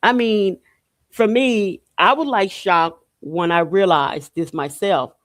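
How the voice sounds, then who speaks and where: A woman's voice: medium pitch, takes it slowly, a bit bright, no room sound, clean studio sound. A woman from Haiti giving an informal podcast monologue in an emphatic, repetitive manner, punctuated with rhetorical questions. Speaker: podcast host. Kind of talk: spiritual and political monologue, informal talk.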